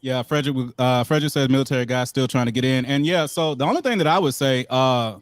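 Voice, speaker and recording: A man's voice: medium pitch, fast, smooth, no echo. A young man hosting a live show, giving blunt, profane and joking critiques. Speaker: show host.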